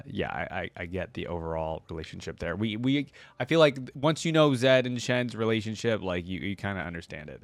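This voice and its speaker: Low-voiced man, dry close sound. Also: no room sound. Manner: conversational, thinking aloud to viewers. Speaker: reaction video host. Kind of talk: casual commentary mixed with reading wiki text aloud.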